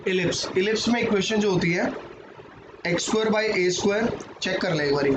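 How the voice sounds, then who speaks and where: Hoarse Indian maths teacher, bantering. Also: male voice, high-pitched. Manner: banters with students, mixes Hindi and English. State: hoarse, sore throat. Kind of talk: live online class, joking with students. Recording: muffled, roomy with background noise.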